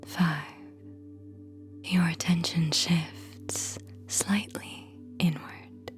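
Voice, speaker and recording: A woman guiding a whispered ASMR sleep meditation in a soothing, hypnotic manner, speaking in short phrases with long pauses. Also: on the low side, fairly even intonation, faint music behind.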